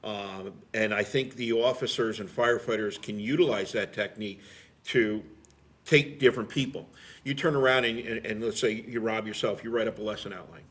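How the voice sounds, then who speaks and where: A man's voice: lowish voice, fairly even intonation, moderate pace, fairly bright tone. A middle-aged man, a firefighter, chatting casually as a guest in an emphatic, rambling way. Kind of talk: casual chat.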